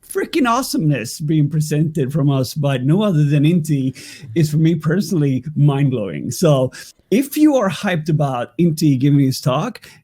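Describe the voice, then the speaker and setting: Energetic man hyping a live stream. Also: fairly high pitch. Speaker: livestream host. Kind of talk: hype-building live stream introduction.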